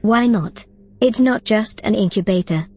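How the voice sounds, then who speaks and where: A woman playing an AI companion, speaking calmly, precisely and reassuringly. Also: medium pitch, measured pace. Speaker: AI companion character. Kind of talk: audio drama acting.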